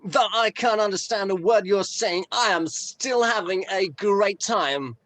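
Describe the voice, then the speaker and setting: A man in an informal table read playing an excitable, starstruck metal band member. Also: high voice, a little slow.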